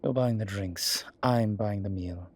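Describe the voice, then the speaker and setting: A man playing a fond, teasing vampire deacon in an ASMR roleplay, with a breathy voice and theatrical, sardonic phrasing. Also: on the low side, measured pace, a little mellow. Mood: flirtatious, playful. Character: male vampire deacon, fond, teasing, exasperated, protective, dryly witty. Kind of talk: ASMR roleplay audio drama addressed to the listener.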